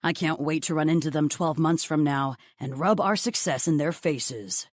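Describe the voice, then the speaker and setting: A woman's voice: deep voice, normal speed, dry recording, clean studio sound. A woman voicing a gossipy, teasing young male best friend in a romance audiobook, effusive and blunt. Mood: gloating, blunt.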